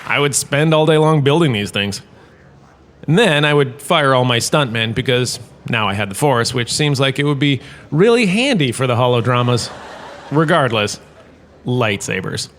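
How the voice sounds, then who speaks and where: A man's voice: medium pitch, expressive, a little slow, a crowd in the background, background noise, natural voice. Man playing a pompous, theatrical professor. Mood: self-satisfied, enthusiastic. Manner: pompous, theatrical, self-satisfied. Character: vain washed-up holodrama star turned history professor, pompous, enthusiastic. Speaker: lecturer. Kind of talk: comedic in-universe lecture.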